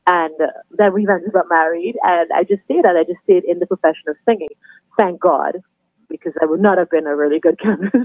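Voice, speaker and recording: A middle-aged woman with a Trinidadian accent, chatting warmly and laughing easily as a guest on a podcast interview. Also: fairly low pitch, dry recording. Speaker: guest, anthem singer. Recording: tinny phone line, dry.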